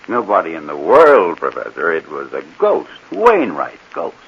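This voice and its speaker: An actor playing a jittery, impatient, wisecracking press photographer in a 1940s radio drama, curt and slangy, on a hissy old recording. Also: male voice, low-pitched, huge pitch swings, measured pace, lo-fi sound, full character voice. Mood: mocking.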